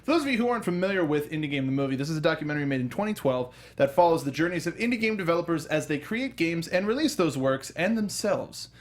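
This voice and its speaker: A man's voice: on the high side, animated, fast talker, few pauses. A man hosting a film review show in casual discussion, often restarting and repeating his phrases.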